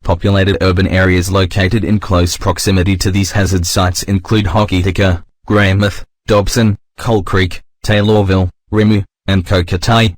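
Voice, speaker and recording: A man voicing a formal, stern emergency broadcast announcer, reading a scripted alert in a measured, impersonal manner. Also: sits low, little pitch movement, dry recording, natural voice. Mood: formal, grave.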